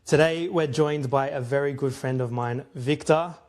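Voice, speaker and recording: A man's voice: mid-range voice, normal speed. A young man with a mid-range voice hosts a casual podcast. He asks his questions in an informal, chummy manner and drops in short affirmations. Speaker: podcast host.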